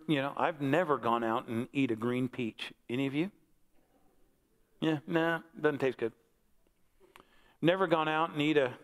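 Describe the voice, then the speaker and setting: An American man in his sixties preaching as a pastor in a conversational, folksy way, with short repeated phrases. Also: mid-range voice. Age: 60s. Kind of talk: conversational sermon and altar call. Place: large church sanctuary, on stage before congregation.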